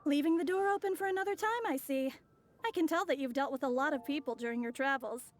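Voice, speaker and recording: A woman voicing a polite, composed young female traveler in a video game, courteous, measured and gently inquisitive. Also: high voice, faint music behind, lightly acted. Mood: polite, friendly.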